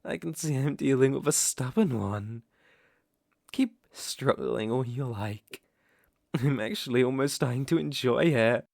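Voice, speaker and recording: A man's voice: mid-range voice, unhurried, creaky, a little mellow, no echo, clean studio sound. A British man playing a seductive, domineering vampire lord in an intimate ASMR roleplay, with teasing, ornate, condescending speech. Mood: amused, gloating.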